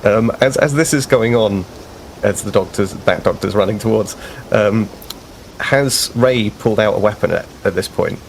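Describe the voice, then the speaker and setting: A man's voice: lowish voice, moderate pace, a little hiss or hum, low-quality recording. A man playing a tabletop game, explaining his moves in a thoughtful, rambling way. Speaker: player. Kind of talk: casual tabletop play.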